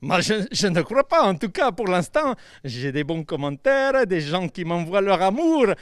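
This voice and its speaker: A bubbly mustached puppet chef, as a man puts him on, with a high voice that leaps around and a hammy fake Italian accent. He stumbles over his words and loves being the star. The sound is close and dry. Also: big pitch swings, moderate pace, no room sound. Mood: cheery. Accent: put-on Italian. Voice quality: stammering, bubbly. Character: cheerful mustached male puppet chef, boastful. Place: outdoors by the seafront.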